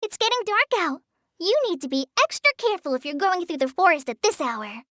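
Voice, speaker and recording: A woman's voice: extremely high pitch, sing-song, a little fast, fairly bright tone, no room sound, studio quality, full character voice. Shy young ranger girl. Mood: gentle. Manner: hesitant, apologetic, sighing. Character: shy young female forest ranger apprentice. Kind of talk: game character voice lines. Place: studio booth.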